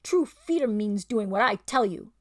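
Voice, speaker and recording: A woman's voice: medium pitch, expressive, moderate pace, natural voice. A woman voicing a scheming, bullying male ape who snarls and lords it over the other animals in a storybook reading. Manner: snarling, domineering, sly.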